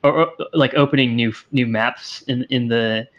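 A man with a slightly congested, sniffly voice talks shop over a video call, his headset audio a bit dull and lo-fi. He thinks out loud, trailing off and restarting sentences as he works through ideas. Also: mid-range voice, fairly even intonation, normal speed. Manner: thoughtful, hesitant, many restarts. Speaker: co-host of a game dev stream. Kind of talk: casual technical chat.

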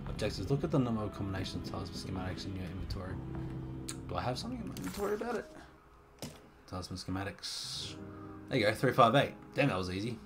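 A young man streaming a horror game, chatting casually and reacting in a jumpy, excitable way, muttering to himself. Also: lowish voice, fast. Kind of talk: casual gameplay commentary. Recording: game music playing behind voice.

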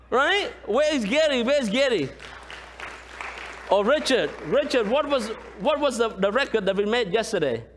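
A man in his thirties, a pastor from Cambodia with a Khmer accent, preaching a lively sermon and often asking the congregation "right?". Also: extremely high pitch. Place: large church sanctuary, indoors. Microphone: far camera, room pickup. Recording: long church hall reverb.

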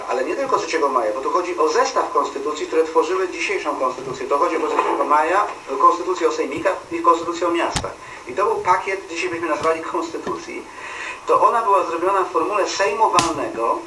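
A man's voice: fairly high pitch, bright, forceful tone. A middle-aged man chairing a meeting, insistent and lecturing, often restarting his words, in a stone-walled hall. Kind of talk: persuasive meeting speech. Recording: roomy and distant, faint hiss.

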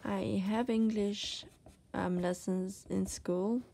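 Dutch woman, art tutor, unhurried, soft-spoken, halting word-searching delivery. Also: medium pitch, steady tone, creaky, slight background noise. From Netherlands. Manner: hesitant, searching for words, many fillers; soft-spoken, often drops to a whisper. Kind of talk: casual drawing tutorial while working. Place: desk, indoors.

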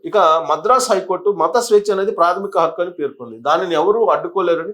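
Guy with a pretty high voice doing news explainer, kinda teachy, reads bits out and stumbles now and then. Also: fairly bright tone. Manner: didactic, addresses viewers, stumbles and repeats words while reading. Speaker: news commentator and presenter. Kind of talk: explanatory news commentary, partly read out.

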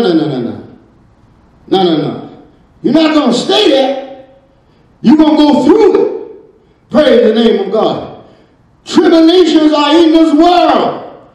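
A middle-aged man preaching a sermon from a church pulpit into a handheld microphone, punctuating every phrase with "uh" and "praise the name of God," often shouting. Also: extremely high pitch. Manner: shouting, gesturing with raised hand. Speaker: pastor. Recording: very echoey church hall, from a distance.

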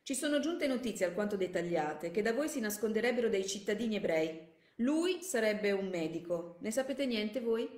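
A woman's voice: mid-range voice, expressive, moderate pace, darkish tone, voice pushed up, close to an everyday voice. A woman voicing a stern, suspicious male military officer in a story, with commanding questions. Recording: echoey room.

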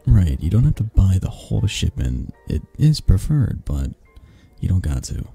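A man playing a possessive, flirtatious mafia boss in a romantic audio roleplay, husky and gravelly, speaking with intimate condescension and long pauses. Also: deep voice, sing-song, mellow, heavily acted. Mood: smooth, businesslike. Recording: music behind the voice. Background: background music.

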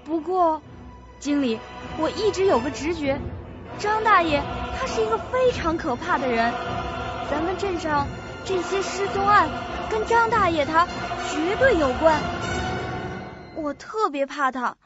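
An actress playing a frightened, tearful young female employee in a suspense radio drama, halting and pleading. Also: very high-pitched, lively intonation. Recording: dull audio under loud music.

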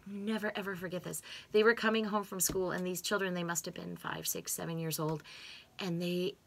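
A woman's voice: on the low side, lively intonation, brisk, gravelly, slight background noise. A middle-aged American woman in casual conversation, speaking expressively with emphatic repetitions, recorded on a desk microphone in a small home room. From United States. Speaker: interviewee, co-host. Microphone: desk microphone on stand.

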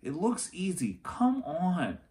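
A man's voice: high voice, wildly swooping pitch, slow pace, warm tone, voice pushed up, heavily acted. A man voicing a playful, daring young girl in a children's storybook, bold and breezy. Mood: eager, breezy.

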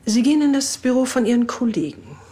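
A woman's voice: a little high, sing-song, fast, noisy background. An actress playing a stern, sarcastic female police superior with a cutting manner in a TV crime drama.

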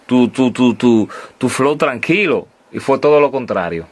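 A man's voice: medium pitch, expressive, measured pace. A man hosting an interview, welcoming a guest and asking questions with short acknowledgments. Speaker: interview host.

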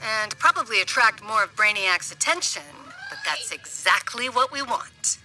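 A woman playing a brisk, resolute superhero ally in a video game, giving matter-of-fact guidance. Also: on the high side, animated, sharp tone, far from the mic, faint music behind, poor audio. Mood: focused.

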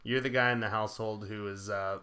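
A man's voice: on the low side, steady tone, brisk, bright, forceful tone. A man talking casually on a comedy podcast.

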